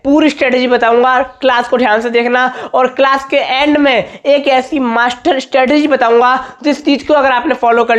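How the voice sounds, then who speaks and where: A man with a slightly hoarse voice, giving an informal exam-tips lesson as a teacher, emphatic and repetitive, often checking that students follow. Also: very high voice, fairly bright tone. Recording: dull, muffled lo-fi audio.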